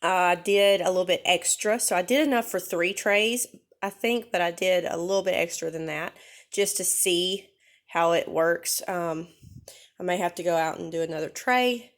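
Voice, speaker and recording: A woman's voice: on the low side, normal speed. A woman with a nasal voice from a cold, chatting casually through a gardening how-to with many ums and self-corrections. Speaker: vlogger.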